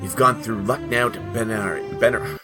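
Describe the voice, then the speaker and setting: A man's voice: fairly low pitch, normal speed, over music. A man co-hosting a comedy dramatic reading, acting out lines and bantering between them. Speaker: podcast co-host reading character parts.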